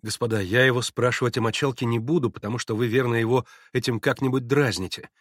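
A man's voice: mid-range voice, steady tone, fast talker, dry recording, studio quality, natural voice. A man voicing an earnest, compassionate young man in an audiobook, speaking with urgency.

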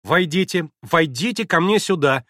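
A man reading aloud, voicing an excitable, imperious young invalid girl who gives urgent commands. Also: mid-range voice, fairly quick, no echo, clean studio sound, close to an everyday voice.

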